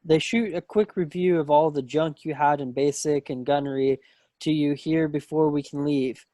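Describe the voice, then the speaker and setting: A breezy young serviceman of the Second World War acted by a man in a play reading. His voice is on the high side, rueful and a bit boastful, heard very dry over a video call. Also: fairly even intonation, moderate pace, dark tone, no room sound, lightly acted. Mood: matter-of-fact. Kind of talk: dramatic table read of a stage play. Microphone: computer mic on a video call.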